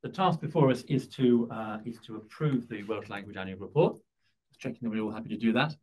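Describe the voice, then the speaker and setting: A man's voice: fairly low pitch, fast talker, darkish tone. English man chairing a board meeting. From England. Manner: courteous, lightly self-deprecating.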